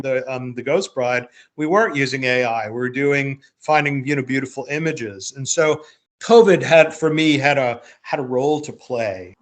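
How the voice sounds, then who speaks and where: A man talking casually as a podcast host, reflective and rambling, often repeating words as he goes. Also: mid-range voice. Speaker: podcast host, playwright and producer. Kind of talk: casual conversational chat.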